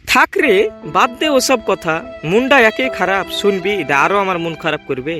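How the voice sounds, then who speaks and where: Squeaky high-pitched village lad. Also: male voice, big pitch swings, normal speed, pushed and bright, faint music behind, exaggerated voice. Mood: dejected, wounded. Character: boastful young village man, once broke, now rich. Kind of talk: comedy cartoon voice acting.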